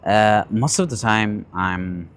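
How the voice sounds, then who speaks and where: Male, late teens, lowish pitch. Casual confessional vlogger, halting delivery with repeated phrases. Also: clean tone. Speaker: vlogger sharing personal stories and advice. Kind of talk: casual confessional talk, storytelling.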